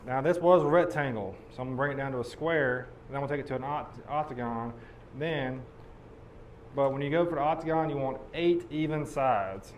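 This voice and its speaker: A hoarse man, losing his voice, folksy and explaining step by step as he demonstrates blacksmithing at a forge. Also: mid-range voice, brisk, slight background noise. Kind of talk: live teaching demonstration. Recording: loud hammer clanging behind voice.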